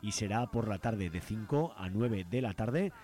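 Low-pitched Spanish man on radio. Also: expressive, unhurried, mellow. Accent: Spain. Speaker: radio show host. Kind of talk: radio commentary and announcements.

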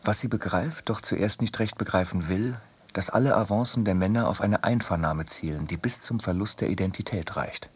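A man reading a scripted literary essay for radio in a measured, deliberate way. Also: fairly low pitch, breathy edge. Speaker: reader in a radio production.

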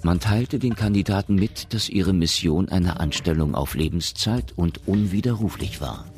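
Super deep gravelly guy narrator of a sci-fi radio play, measured and sober, music under him. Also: a little mellow. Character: sober male narrator of a science fiction story. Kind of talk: scripted radio drama narration.

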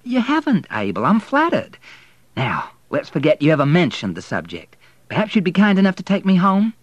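A man voicing a haughty young Southern lady, prim, brisk and condescending, in an audiobook novel. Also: mid-range voice, very animated, no echo, dull audio, voice pushed up, lightly acted. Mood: gracious, brisk.

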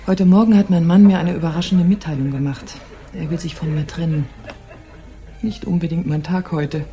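A low, mellow female voice from an actress as a young society wife. She is melancholy yet composed, speaking with polite resignation in an understated radio drama, faint music behind her. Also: normal speed, natural voice. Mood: sad, resigned. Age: young adult. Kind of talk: German radio play acting.

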